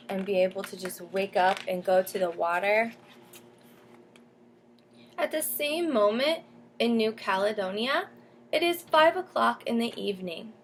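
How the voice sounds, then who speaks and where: A woman teacher reading a picture book aloud to children, pausing to explain and ask questions. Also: mid-range voice, a little hiss or hum. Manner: explains and asks questions as if to young listeners. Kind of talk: storybook read-aloud with comments to children.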